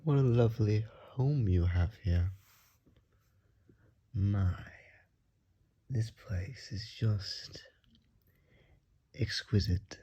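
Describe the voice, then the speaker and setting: A man voicing a courteous, ingratiating vampire visitor in an ASMR roleplay, softly and often in a whisper, with polite, old-fashioned, hesitant and flattering speech. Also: fairly low pitch, drawn-out words, pauses often, muffled recording, full character voice. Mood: grateful, charmed. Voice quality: soft, breathy, often whispered.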